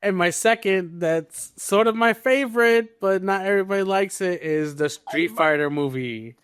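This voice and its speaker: A man's voice: high voice, normal speed, a bit bright, clean tone, no echo, clean studio sound. A man co-hosting a podcast, chatting casually in a playful, opinionated way. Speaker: podcast co-host. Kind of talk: casual panel chat.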